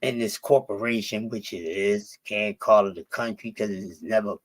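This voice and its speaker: A man's voice: lowish voice, steady tone, normal speed. Rambling, cussing Philly man. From Philadelphia. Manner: profane, rambling, with many restarts. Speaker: stream guest. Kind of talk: casual chat.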